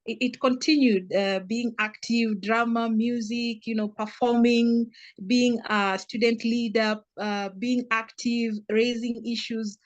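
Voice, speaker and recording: A young adult woman from Kenya with a Kenyan accent, a guest telling her life story with many pauses and restarts. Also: a little high, steady tone, no room sound, muffled recording. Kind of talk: casual interview answers.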